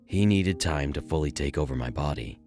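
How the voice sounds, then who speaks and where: A man's voice: rumbling low, a little fast, over music. A man playing an earnest, loyal detective partner in an audio drama, confiding what he has been through. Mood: earnest explaining.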